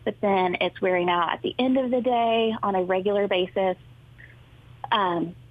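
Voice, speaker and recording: A woman's voice: mid-range voice, moderate pace, dry recording. A woman explains things patiently and informatively, like a therapist answering interview questions. Her voice comes through a thin, phone-like line. The sound is dry and close. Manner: friendly, informative, steady explaining. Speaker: speech therapist guest. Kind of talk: explaining in a talk show interview.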